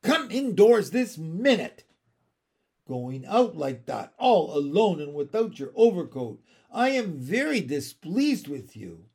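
A man's voice: a little high, very animated, measured pace, vocal fry, few pauses, a little mellow, breathy edge, exaggerated voice. A man voicing a fussy, haughty lady dog owner in a storybook, indignant and wailing in her exclamations. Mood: scolding, angry.

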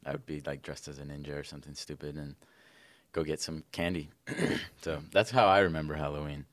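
A man's voice: very deep voice, fairly even intonation, brisk. A man in his twenties, a podcast co-host, laid-back and joking in casual chat. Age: 20s.

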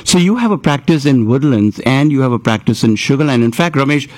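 A man hosting a radio interview show, chatty and enthusiastic with quick interjections. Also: medium pitch, lively intonation, a little mellow, dry recording.

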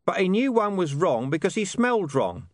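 A man voicing a streetwise talking dog in an audiobook, bossy, wry and quick to explain. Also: high voice, big pitch swings, dry recording, studio quality, voice pushed up. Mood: explanatory. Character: gruff streetwise talking dog speaking from the shadows. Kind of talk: audiobook character voice.

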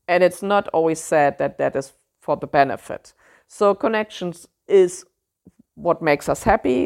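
A woman with a German accent hosting a solo podcast, speaking thoughtfully and pausing often with fillers as she searches for words. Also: deep voice, vocal fry, a little mellow. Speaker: podcast host.

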